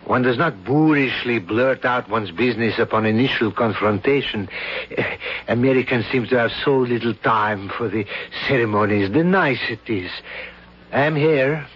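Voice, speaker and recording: A courtly foreign grand duke, voiced by a man, formal, condescending and insistent, in a mid-pitched voice. It sounds like a dull vintage radio broadcast with muffled highs. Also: normal speed, breathy edge, lightly acted. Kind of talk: 1970s radio drama acting.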